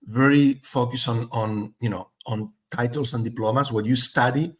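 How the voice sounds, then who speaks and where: A man's voice: mid-range voice, fairly even intonation, moderate pace. A middle-aged man from Spain, talking as a guest in an interview, thoughtful and full of fillers and restarts. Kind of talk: casual interview chat.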